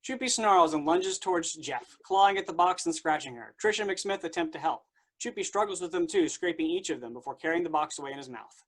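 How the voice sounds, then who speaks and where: A stage directions reader with a medium-pitched voice. The delivery is scripted narration for a play reading, setting out each action. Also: lively intonation, brisk, fairly bright tone.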